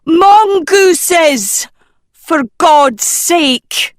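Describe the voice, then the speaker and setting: A woman's voice: high-pitched, takes it slowly, dry recording, voice pushed up. A woman voicing a blaring, fed-up schoolgirl. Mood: exasperated. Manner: blaring, ranting. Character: exasperated know-it-all schoolgirl. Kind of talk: audiobook character voice.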